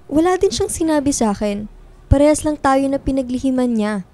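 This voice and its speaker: A woman's voice: sits high, expressive, fairly quick, mellow. A woman playing a loyal, guarded young best friend with a blunt, natural delivery in radio drama dialogue. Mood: hurt, confrontational.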